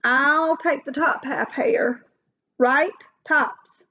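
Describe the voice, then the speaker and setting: A woman voicing a lazy, gullible male bear in a storybook, slow to catch on. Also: high voice, bright, forceful tone, voice pushed up, heavily acted. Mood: drowsy. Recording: dull lo-fi recording.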